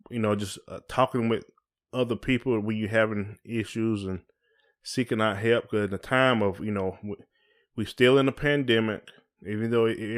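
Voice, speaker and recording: A man with an American accent hosting a podcast in casual, colloquial conversation. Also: fairly low pitch. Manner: colloquial, often checks in with "you know". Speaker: podcast host.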